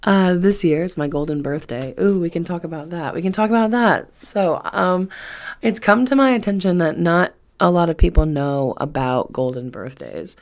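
A woman's voice: lowish voice, moderate pace, a little mellow. A woman in her twenties chatting casually on a solo podcast, rambling and swearing freely with emphatic repetition. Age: 20s. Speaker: podcast host.

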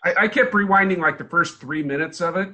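Very bright, higher-pitched man chatting. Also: fast talker. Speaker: podcast panelist. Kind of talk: casual podcast chat.

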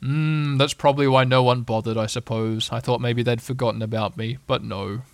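Guy doing a gloomy donkey from a kids story, slow n mournful, sorry for himself, dry sound. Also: medium pitch, fairly even intonation, gravelly, dry recording, close to an everyday voice. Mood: gloomy, resigned.

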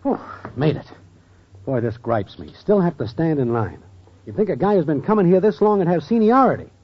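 A man's voice: fairly high pitch, sing-song, a little fast. An actor playing a brash, lazy, wisecracking unemployed boyfriend in an old radio sitcom, full of slangy wise-guy talk. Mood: griping. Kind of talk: 1940s-50s radio sitcom acting. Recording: dull, noisy old radio recording.

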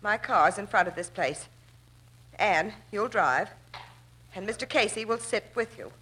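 Bright-voiced, scheming woman from 1940s radio drama. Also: mid-range voice, unhurried, a little hiss or hum, low-quality recording. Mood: grim resolve. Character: scheming, determined woman feigning grief, threatening at gunpoint.

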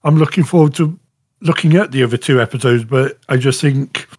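A man chatting casually as a podcast panellist, halting and rambling, often saying "you know". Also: medium pitch, a little mellow, husky. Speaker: podcast panellist reviewing shows. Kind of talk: casual chat.

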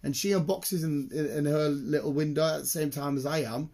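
Excitable, slightly croaky British man. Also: fairly high pitch, a little fast. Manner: excitable, rambling, sweary. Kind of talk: casual chat, rambling anecdotes.

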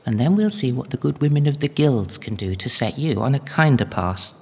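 An actress playing a devout, motherly older holy woman in an audio drama, gentle, knowing and unhurried. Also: rumbling low, animated, husky, in a large hall, exaggerated voice. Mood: tender, preaching.

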